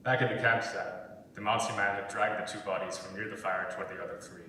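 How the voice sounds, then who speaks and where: Male narrator, scripted stage directions: sharp, low voice, recorded in a very echoey hall with faint noise. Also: little pitch movement, fairly quick. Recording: echoey hall, distant mic, faint rumble.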